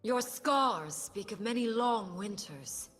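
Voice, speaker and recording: A woman voicing an ancient, solemn ice-bird spirit in a video game, regal and grand, making measured proclamations as guardian of a frozen land. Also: medium pitch, animated, fairly bright tone, soft background music. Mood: grave.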